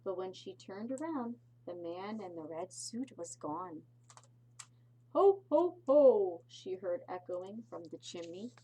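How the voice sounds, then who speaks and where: Slow-paced woman reading a children's story. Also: fairly low pitch, darkish tone, breathy edge, poor audio. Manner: slow, deliberate phrasing with explanatory asides. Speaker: pre-K teacher reading aloud. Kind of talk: expressive picture-book read-aloud for young children.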